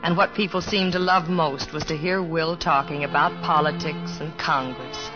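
Devoted, gently nagging wife played by an actress, old radio drama, dull recording. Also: female voice, low voice, fairly even intonation, normal speed, natural voice. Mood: fond reminiscing. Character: devoted wife of a comedian, earnest, gently nagging, narrating their life. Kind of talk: 1950s radio drama narration and acting. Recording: dull vintage radio recording, faint music.

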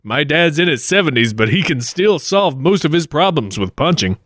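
A man's voice: a little high, expressive, normal speed, a bit bright, dry recording, clean studio sound. A man playing a brash, cocky but polite adventurer hero in a comic radio play. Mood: polite, wry. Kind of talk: 1940s-style radio play acting.

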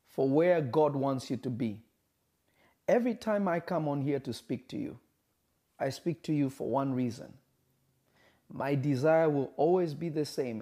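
A man preaching a livestreamed sermon, speaking emphatically, repeating phrases and slowing for stress, and greeting viewers by name. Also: medium pitch, mellow. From Kenya.

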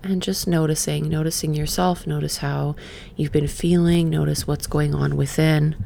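A middle-aged woman who just woke up, leading a gentle breathing exercise and then reflecting in a soft, meandering way. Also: low-pitched, fairly even intonation, vocal fry, slight background noise. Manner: soft, slow and meandering, with sighs and fillers. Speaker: podcast host and session coach. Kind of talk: guided breathing then casual reflective talk.

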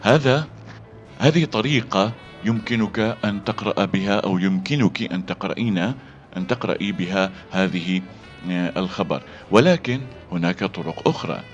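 A man teaching a voice-over lesson, halting with fillers and restarts between read-aloud demonstrations. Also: sits low. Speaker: voice acting coach. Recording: dull lo-fi sound with faint music.

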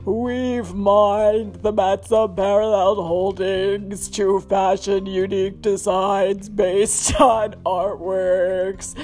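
A woman's voice: medium pitch, little pitch movement, slow pace, hardly any pauses, darkish tone, faint music behind, pitched below the performer's own voice, natural voice. A woman voicing a smug, mock-grand museum announcer in a theatrical, pompous reading of fundraising copy.

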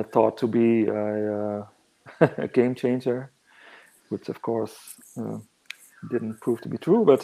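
Slow, gravelly man. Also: medium pitch, dark tone, low-quality recording. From northern Netherlands. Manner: halting, searching for words. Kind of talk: reflective interview answers.

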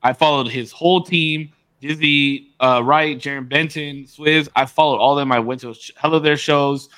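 A man's voice: a little high, steady tone, moderate pace, pushed and bright, dry recording. A man co-hosting a podcast, talking loosely and profanely, rambling and restarting mid-sentence. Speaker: podcast co-host. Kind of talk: casual chat.

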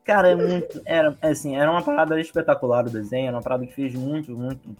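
A man's voice: a little high, rapid-fire, over loud music. A man with a Brazilian Portuguese accent, co-hosting a casual chat and talking animatedly. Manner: animated, hums and imitates theme songs. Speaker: podcast co-host. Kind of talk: casual chat about cartoons.

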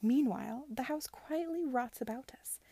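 A woman voicing a haughty, scheming young gentlewoman in an audiobook, with a cutting, commanding and disdainful delivery. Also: high-pitched, moderate pace, dry recording, voice pushed up. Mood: gloomy disdain. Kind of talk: audiobook character dialogue.

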